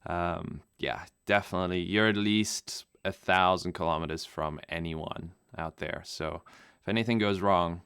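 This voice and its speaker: Guy raised in namibia, german native, deep clear voice, lots of ums and restarts, dry mic. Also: a little slow, few pauses, no room sound. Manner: relaxed, rambling with many fillers and restarts. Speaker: guest pilot. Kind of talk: casual interview answers.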